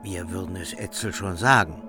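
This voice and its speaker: A man acts an ominous, proud warrior in a radio play. The very low voice is cold, formal and deliberate, with faint music behind it. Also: lightly acted. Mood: curt, guarded.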